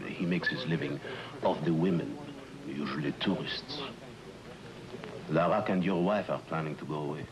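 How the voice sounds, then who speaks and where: Grave, deferential informant: extremely low gravelly voice, formal halting delivery, vintage mystery drama. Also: male voice, lo-fi sound, crowd noise. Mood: grave, regretful. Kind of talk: old-time mystery drama acting.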